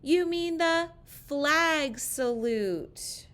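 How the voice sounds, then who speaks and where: Amused young pupils from a picture book, acted out by a woman reader. They correct their teacher loudly and emphatically in high, bouncy, animated voices, drawing out the key words. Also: exaggerated voice. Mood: amused, insistent. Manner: loud, emphatic, drawn-out correcting.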